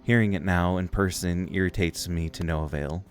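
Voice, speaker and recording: A man's voice: sits low, steady tone, normal speed. A man reading a video game's story text aloud and commenting as he plays, with game music behind him. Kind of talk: let's play narration, reading dialogue aloud.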